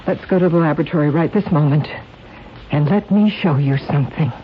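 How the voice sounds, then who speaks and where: A woman's voice: deep voice, fairly quick, natural voice. An actress playing an earnest, conscience-stricken woman doctor, speaking seriously and urgently in a radio drama. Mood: urgent, insistent. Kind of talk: 1970s radio drama acting. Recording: dull, hissy old radio recording.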